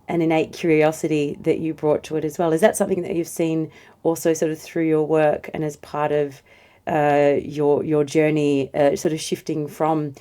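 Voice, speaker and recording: A woman co-hosting a podcast, gently affirming her guest before asking a long, slightly halting question. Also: sits low. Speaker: podcast co-host.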